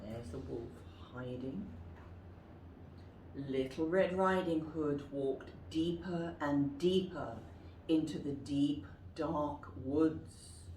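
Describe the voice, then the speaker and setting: A woman reading a children's story aloud in an expressive, deliberate, dramatic way, like a teacher. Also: low voice. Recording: roomy, distant mic with faint noise.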